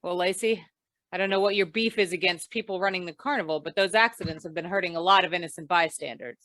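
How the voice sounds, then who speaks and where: A woman's voice: on the low side, steady tone, quick pace, fairly bright tone, no room sound, close to an everyday voice. Woman acting a dry, wry noir lady detective. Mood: cool, probing. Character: wry, hard-boiled female insurance investigator in a crime noir. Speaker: actor in an old-time radio play. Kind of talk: 1940s radio noir narration and dialogue.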